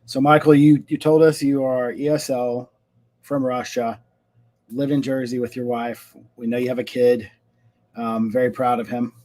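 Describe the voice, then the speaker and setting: A man's voice: medium pitch, flat delivery, normal speed, darkish tone. Chatty man hosting a live stream. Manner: upbeat, chatty, some fillers. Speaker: host. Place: home, lawn crew outside.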